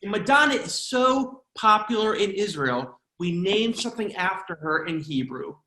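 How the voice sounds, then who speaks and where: A man's voice: high-pitched, lively intonation, moderate pace, echoey room, heavy background noise. An American man with a jokey, chatty manner, hosting an online celebration as emcee and comedian. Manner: jokey, chatty, playful asides. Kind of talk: comic hosting of an online event.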